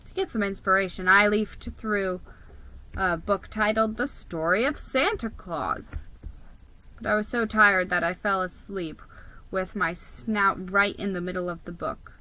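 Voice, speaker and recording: A woman's voice: mid-range voice, a little slow, bright, forceful tone, rough recording. A woman giving a dramatic scripted reading of a children's story as its narrator. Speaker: storybook reader.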